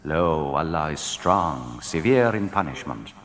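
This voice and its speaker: A man reading translated scripture in a solemn, measured, declamatory style, letting his phrases fall at the end. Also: a bass voice, lively intonation, a bit bright. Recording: long hall reverb.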